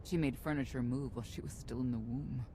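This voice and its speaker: An actress playing a regretful middle-aged mother and stern veteran knight, speaking in measured, solemn phrases in a video game cutscene. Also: rumbling low, fairly even intonation, noisy background. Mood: pained, grave. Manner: measured, solemn, deliberate storytelling in short phrases.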